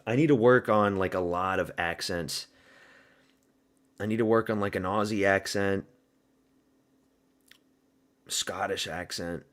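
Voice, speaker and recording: Southern-raised man, low-ish voice, casual profane stream host chatting with chat. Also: unhurried, long pauses, fairly bright tone. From American South. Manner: loose and profane, chatting with viewers. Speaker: streamer hosting a watch party. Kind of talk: casual live-stream commentary.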